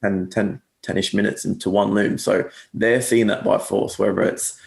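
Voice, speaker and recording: A young man whose accent drifts between English and Australian, talking in a low, gravelly voice. He rambles and corrects himself often, with plenty of fillers, in a casual interview chat. The sound is echoey, like a room on a video call. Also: little pitch movement, normal speed, a little hiss or hum. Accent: mixed English and Australian. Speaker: guest agency owner.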